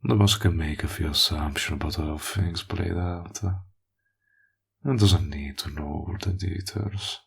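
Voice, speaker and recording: Very deep, gravelly villain played by a man. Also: heavily acted. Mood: teasing, flirtatious. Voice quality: gravelly, husky, breathy. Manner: slow, teasing, drops into whispers. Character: smug, possessive male villain, flirtatious and menacing. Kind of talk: roleplay audio acting, intimate monologue.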